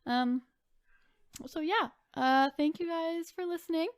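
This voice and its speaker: A woman's voice: very high voice, measured pace, dry recording, studio quality. A woman co-hosting a podcast, reading online stories aloud and chatting in an informal, conversational way. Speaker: podcast co-host.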